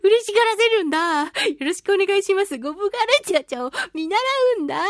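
A woman's voice: very high-pitched, fast talker, no echo, studio quality. A woman hosting a solo radio show, reading listener mail in a chatty, giggly, playfully gushing way. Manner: playful, gushing, with exaggerated pleading; chatty, giggly, reacting aloud to what she reads.

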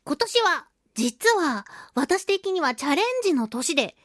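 A woman hosting a solo radio talk show, chatting and reading out listener mail with animated, playful reactions. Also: sits high, unhurried, dry recording, studio quality. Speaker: radio show host, voice actress.

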